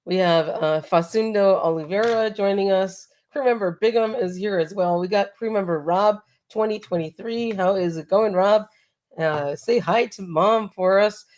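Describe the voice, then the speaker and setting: A woman's voice: mid-range voice, a little mellow. Stuffy-nosed woman hosting a toy livestream, upbeat, laughs a lot. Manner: upbeat, laughs often, reads out chat names. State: congested from allergies. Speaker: co-host. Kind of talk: casual live-stream chat.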